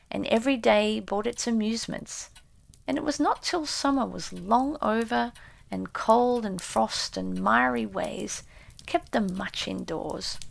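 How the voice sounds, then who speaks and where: A woman reading a classic storybook as an audiobook narrator, in a measured, expressive way. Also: a little high, vocal fry.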